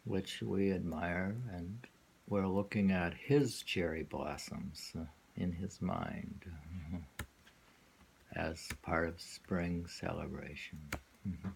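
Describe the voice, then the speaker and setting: A man giving an informal poetry reading with commentary, musing haltingly and repeating phrases as he explains. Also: low voice, gravelly, slight background noise.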